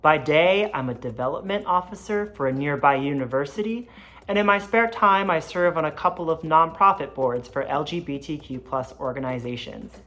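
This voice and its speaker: A man's voice: a little high, moderate pace, pushed and bright. A man in his thirties from Iowa, giving a polished, self-assured contestant intro. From Iowa, USA. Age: 30s. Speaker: reality game contestant.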